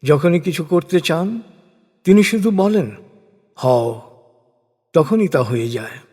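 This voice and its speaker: A man narrating translated scripture in a solemn, measured, formal way, phrase by phrase with falling endings. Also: sits high, a little mellow. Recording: long reverberant hall effect.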